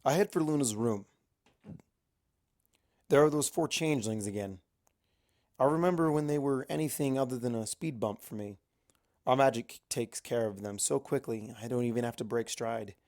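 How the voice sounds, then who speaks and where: A man's voice: medium pitch, a little mellow. A man reading a first-person adventure story aloud as an amateur audiobook narrator.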